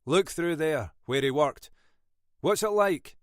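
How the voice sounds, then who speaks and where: A man voicing a shrewd older Scottish detective inspector with a dry, brisk, wry manner. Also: on the high side, lively intonation, no echo, pitched above the performer's own voice. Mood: probing.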